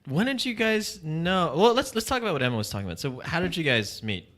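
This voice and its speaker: A male podcast co-host with a lively voice that swings up and down. He is chatty and playful and jumps from question to question. His talk is loose and casual. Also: a little high, fast talker. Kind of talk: casual interview chat.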